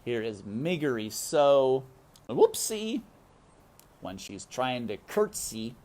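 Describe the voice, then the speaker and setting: Deep-voiced, expressive woman reading a storybook. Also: slow. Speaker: read-aloud storyteller. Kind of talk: expressive children's book narration with asides.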